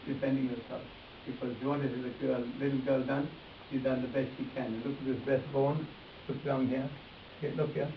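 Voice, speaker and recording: A man teaching face reading in a live demonstration, addressing volunteers directly and repeating his instructions as he goes. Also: mid-range voice. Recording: echoey, distant mic, dull sound with hiss.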